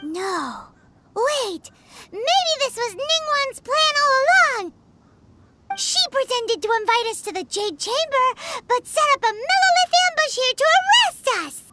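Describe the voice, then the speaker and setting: A woman voicing a tiny, excitable, childlike fairy companion with a squeaky voice in a fantasy video game. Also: measured pace, very noisy, heavily acted. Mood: indignant. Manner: speaks of self in third person, exclaiming. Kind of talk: animated fantasy game dialogue.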